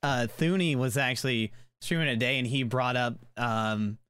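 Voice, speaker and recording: A man's voice: medium pitch, moderate pace, fairly bright tone, clear, resonant tone. A man hosting a casual podcast interview, rambling through his questions with many fillers and restarts. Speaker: podcast host.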